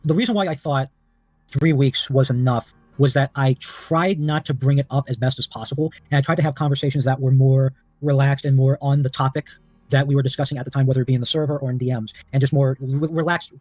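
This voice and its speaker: Fast, formal man explaining himself. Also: mid-range voice, fairly even intonation, darkish tone, no echo. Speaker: person answering questions on a call. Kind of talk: careful explanation on a voice call.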